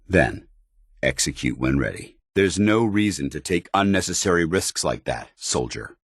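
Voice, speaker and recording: An actor playing a stern, loyal soldier companion in a video game, formal and earnest with a commanding military delivery. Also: male voice, rumbling low, lively intonation, moderate pace, slightly rough, no echo, clean studio sound, heavily acted. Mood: brisk, authoritative. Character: stern, loyal, duty-bound adult male soldier companion.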